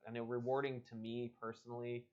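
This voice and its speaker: A man's voice: medium pitch, flat delivery, a little fast, dull audio. A man hosting a casual live stream, chatting and reading a story aloud. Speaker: host and book reader.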